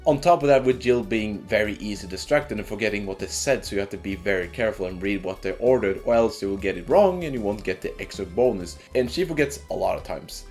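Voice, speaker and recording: A man presents a video game review in a casual, scripted narration. His pitch moves around animatedly and his wording is informal and sweary. Light music plays behind him. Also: animated, quick pace, few pauses, darkish tone.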